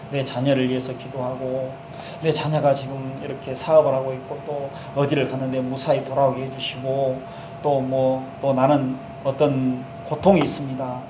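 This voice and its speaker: Korean pastor preaching in an echoey hall. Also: male voice, fairly high pitch, a little mellow, slight background noise. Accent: Jeolla dialect. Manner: conversational preaching, acting out prayers in first person. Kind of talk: sermon.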